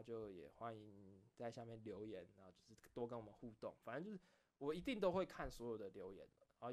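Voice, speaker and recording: A man with a Taiwanese Mandarin accent and a mid-pitched voice hosts a casual late-night chat for his online audience. He rambles along informally, often doubling back to repeat or correct his phrases. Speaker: online video creator and host.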